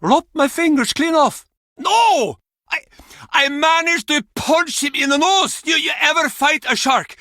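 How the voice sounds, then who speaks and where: An actor playing a gruff, cantankerous old fisherman in a video game, with a blustering, theatrical way of telling tall tales. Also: very high-pitched, expressive, measured pace, bright, forceful tone, no echo, clean studio sound, full character voice. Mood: boastful storytelling. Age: older. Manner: blustering, curt, theatrical storytelling. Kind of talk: video game character acting.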